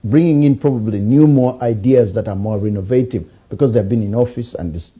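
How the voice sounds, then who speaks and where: A man leads a conversational interview, his voice dark and mellow with a husky edge. He works toward his questions through hesitations and keeps up a steady stream of agreement while the guest talks. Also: medium pitch, a little fast. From Uganda. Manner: searching, hesitant, frequent backchannel agreement.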